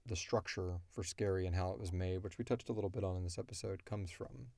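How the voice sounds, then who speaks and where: Low-pitched man chatting casually, dry sound. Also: fairly even intonation, fast talker, creaky, warm tone, no room sound. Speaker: podcast host answering listener questions. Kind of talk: casual chat.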